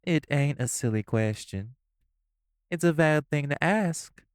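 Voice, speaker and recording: A man's voice: fairly high pitch, lively intonation, a little slow, clear, resonant tone, no echo, clean studio sound. A man voicing a sly, mocking male gangster, in an audio roleplay. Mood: smug. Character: smug, scheming male gangster, sly and teasing.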